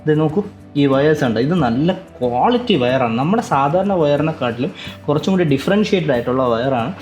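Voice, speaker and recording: A man's voice: a little high, lively intonation, brisk, a little mellow, soft background music. A man giving a casual, explanatory unboxing review, often repeating and rephrasing himself as he goes. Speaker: tech vlogger and product reviewer.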